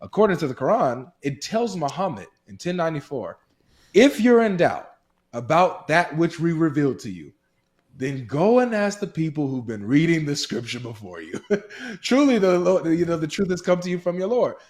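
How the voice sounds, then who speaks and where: A man's voice: a little high, very animated, moderate pace, darkish tone. A man appearing as a guest on a talk show, earnestly explaining an argument and acting out quoted exchanges. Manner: earnest, builds points with quoted dialogue.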